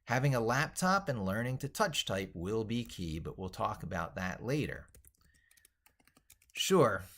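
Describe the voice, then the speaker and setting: A man's voice: fairly low pitch, very animated, unhurried, a bit bright. A man hosting a casual tech livestream, rambling and thinking aloud as he works through things on screen and reads text out. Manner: rambling, thinking aloud while working at computer. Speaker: livestream host, tech educator.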